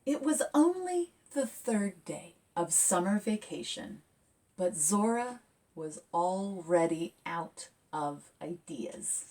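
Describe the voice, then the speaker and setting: Expressive woman reading to children, lively pitch. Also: slow, far from the mic. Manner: expressive, addressing young listeners with questions. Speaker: children's book author reading aloud. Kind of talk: storytime talk and picture book read-aloud for children.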